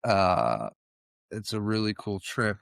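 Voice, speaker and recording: A man's voice: lowish voice, big pitch swings, slow, creaky, fairly bright tone, smooth, no echo. Man hosting a podcast, dry close sound. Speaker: podcast host. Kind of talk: conversational interview.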